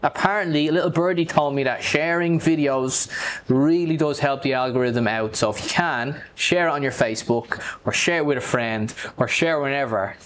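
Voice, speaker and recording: A man hosting an interview in a bar, asking short questions and wrapping up with a friendly, brisk sign-off for his channel. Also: medium pitch, fairly bright tone, slight background noise. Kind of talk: casual interview and channel outro. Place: bar and grill.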